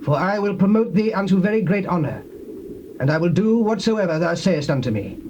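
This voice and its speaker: A flattering envoy of a king in a Bible drama, entreating floridly. The voice is high and swoops about, with faint tape noise behind it. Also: a little fast, lo-fi sound. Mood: pleading, flattering. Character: royal envoy, pleading, flattering courtier. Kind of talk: dramatized scripture acting in archaic English. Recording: faintly noisy old tape.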